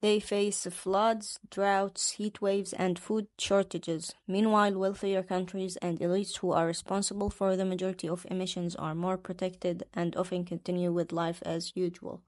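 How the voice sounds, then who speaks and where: A woman in her twenties from Palestine, co-hosting a podcast and reading a script in an earnest, deliberate way. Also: lowish voice, flat delivery, darkish tone, no room sound, studio quality. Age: 20s. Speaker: podcast co-host.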